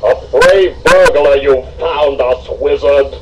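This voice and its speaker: A man's voice: a little high, takes it slowly, very noisy. A man playing a proud dwarf leader in a children's storybook, stern, formal and commanding. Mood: scornful. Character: proud, richly dressed male dwarf leader, grave and commanding. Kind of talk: children's storybook read-along acting. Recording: music behind the voice.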